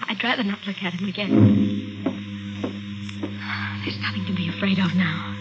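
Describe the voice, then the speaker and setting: A woman's voice: fairly low pitch, sing-song, normal speed, loud music behind the voice. An actress playing a frightened, shaky young woman in a 1950s radio mystery, stammering and repeating herself. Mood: anxious, shaken. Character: frightened, shaky young woman insisting she saw a corpse. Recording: noisy, muffled old radio recording.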